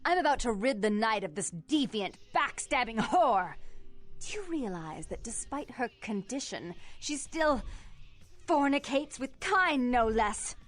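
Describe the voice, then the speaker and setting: A woman's voice: on the high side, sing-song, measured pace. A woman playing a prim, controlling vampire sister in a video game, speaking in a clipped, formal, self-righteous and venomous way. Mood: disgusted. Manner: clipped, haughty, formal, indignant. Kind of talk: dramatic video game voice acting. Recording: game dialogue over background music. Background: music.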